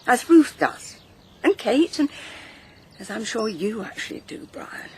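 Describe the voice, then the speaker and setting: A woman's voice: a little high, animated, a little slow, darkish tone, a little hiss or hum. A woman playing a hurt, resolute older wife and matriarch with an English accent, earnest and persuasive in a naturalistic radio drama. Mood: insistent, worried.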